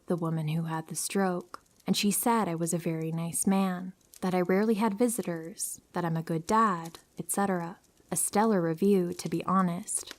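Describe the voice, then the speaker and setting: A woman with a fairly low voice reads true horror stories aloud. Her delivery is calm and even, the way a narrator tells a story meant for sleep.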